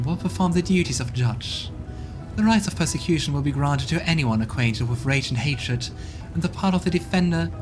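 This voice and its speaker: A man's voice: a little high, voice pushed up, lightly acted. A man voicing a stern woman who judges a demon court, with music in the background.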